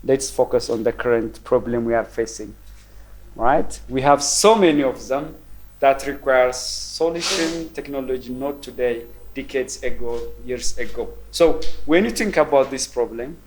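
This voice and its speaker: Man in his forties, mid-pitched, measured keynote delivery at a university roundtable. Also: a little hiss or hum, rough recording. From Ethiopia. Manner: measured, thoughtful, frequent ums and repeated words. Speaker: keynote speaker. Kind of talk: conference presentation. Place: university roundtable event room.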